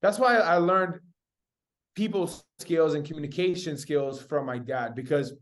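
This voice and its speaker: Young male guest, slightly high voice, casual and slangy, bro-heavy personal storytelling. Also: unhurried. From Spain. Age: young adult. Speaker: interview guest, entrepreneur.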